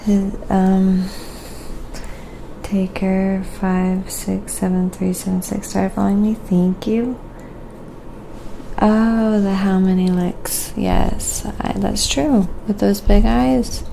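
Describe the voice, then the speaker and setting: Very creaky woman murmuring while she paints. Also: mid-range voice, level tone, slow pace, dark tone, slight background noise, poor audio. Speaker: live-streaming painter and host. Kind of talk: quiet ASMR painting livestream chat.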